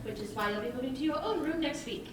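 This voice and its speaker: Prim, strict mother, high and lively. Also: fast. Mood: stern, prim. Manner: prim, proper, admonishing. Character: prim, strict but loving Edwardian mother. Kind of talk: theatrical stage acting. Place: theatre stage. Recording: echoey stage recording, distant mic, faint noise.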